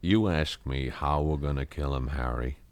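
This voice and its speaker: A man's voice: a bass voice, a little hiss or hum. An actor playing a cold, scheming man who plots a murder, in a 1970s radio drama. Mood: menacing. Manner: slow, deliberate, menacing.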